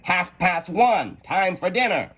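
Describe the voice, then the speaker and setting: An eccentric, touchy gentleman from a children's tale, as a male reader puts him on. He speaks high and theatrically, stretching his words out slowly. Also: expressive, husky, full character voice. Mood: dramatic, aggrieved. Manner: slow, theatrical, drawn-out.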